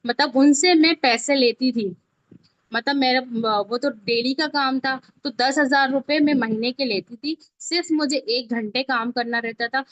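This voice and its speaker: A woman's voice: high-pitched, a little slow, no room sound. A woman with an Indian accent teaching an informal step-by-step online tutorial in a conversational way, often checking that learners follow. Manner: conversational, repeatedly checks understanding. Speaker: video editing teacher. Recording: dull, lo-fi online class audio.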